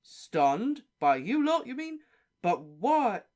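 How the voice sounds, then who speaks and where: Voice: medium pitch, huge pitch swings, slow pace, clear, resonant tone. A reader voicing a flustered middle-aged wizard official who exclaims breathlessly. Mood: agitated.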